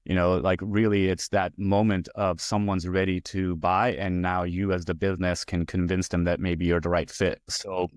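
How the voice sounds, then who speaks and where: A man from Hungary in his forties, a guest talking through his career in a relaxed, rambling way with lots of fillers. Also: low-pitched, normal speed, few pauses, no room sound, clean studio sound. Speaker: guest, VP of user experience. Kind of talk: casual interview talk.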